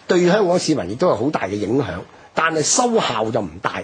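A man with a Hong Kong Cantonese accent gives an unscripted, emphatic explanation as a history commentator on radio, his voice husky and rough. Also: mid-range voice, big pitch swings, fairly quick. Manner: explanatory, emphatic, frequent rhetorical tags. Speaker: history commentator, programme guest.

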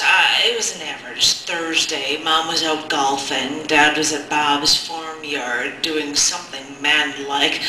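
A woman with a very bright, forceful voice reads a personal narrative aloud at an open mic. Her delivery is expressive and conversational, like storytelling. The room adds clear echo around her voice. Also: low voice, slight background noise. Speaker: poet, open mic host. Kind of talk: spoken-word reading of a personal narrative. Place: indoor cafe open mic. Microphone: handheld or stand microphone held close. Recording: roomy, echoing live cafe sound.

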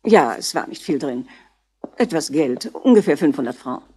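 A woman's voice: low-pitched, expressive, moderate pace, mellow, breathy edge. An actress playing a prim, snobbish, easily shocked mature mother in a 1960s German radio crime play, with an affected, over-refined manner. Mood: self-justifying. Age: middle-aged or older.

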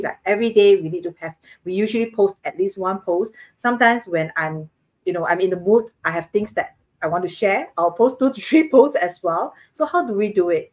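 A woman's voice: mid-range voice, darkish tone. A woman in her thirties from Singapore with a Singaporean English accent, co-hosting from home over a video call and explaining things earnestly with lots of fillers. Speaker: co-host. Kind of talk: casual live-stream chat. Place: home living room, indoors, video call. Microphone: webcam on video call.

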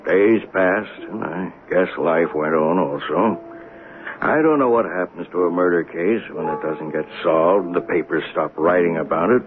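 Actor as folksy train conductor on tinny old radio. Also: male voice, deep voice, normal speed, over music, close to an everyday voice. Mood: reflective. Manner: musing, plain-spoken. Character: folksy, observant commuter-train conductor telling a murder tale. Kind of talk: 1970s radio drama acting, narration and dialogue. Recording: noisy phone-like old radio broadcast.

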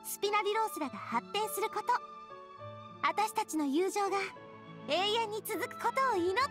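A woman playing an elegant, warm-hearted young lady boss in an anime game, sincere and expressive. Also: extremely high pitch, moderate pace, music in the background. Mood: cheerful. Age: young adult. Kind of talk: Japanese anime game voice acting.

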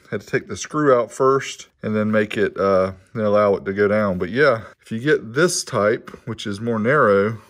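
A man's voice: fairly low pitch, dry recording, clean studio sound. A man reviewing car audio gear, narrating a test in a matter-of-fact, casual, technical way.

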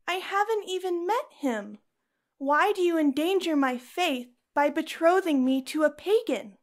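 High female voice, an actress as resolute young Roman noblewoman, devout, earnest, firm, audio drama. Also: lively intonation, measured pace. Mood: pleading.

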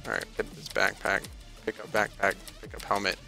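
A young man giving casual, playful and excitable live commentary while he plays a video game. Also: lowish voice, a little fast, gravelly, soft background music. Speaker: game streamer. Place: indoor room at a streaming desk.

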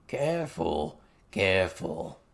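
Storybook goblin, male, small, froglike croak, slow drawl, pompous, slangy, shifty. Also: sits low, very animated, a bit bright, heavily acted. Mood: cautioning, smug.

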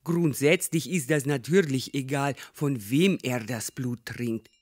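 A man's voice: mid-range voice, a little slow, no echo, studio quality, close to an everyday voice. A man playing a domineering, haughty old female fortune-teller in a German comedy audio drama, lecturing imperiously. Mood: knowing, lecturing.

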